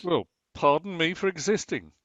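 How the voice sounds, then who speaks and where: A man's voice: a little high, lively intonation, normal speed, fairly bright tone, no echo. A man acting a long-suffering, dryly sarcastic husband in a domestic radio comedy. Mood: wry. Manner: dry, exasperated sarcasm.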